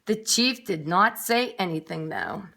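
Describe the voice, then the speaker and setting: Bright-voiced woman reading a children's storybook. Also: medium pitch, a little slow, creaky. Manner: expressive, with dramatic emphasis and asides to young listeners. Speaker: nursery school story reader. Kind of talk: children's storybook read-aloud.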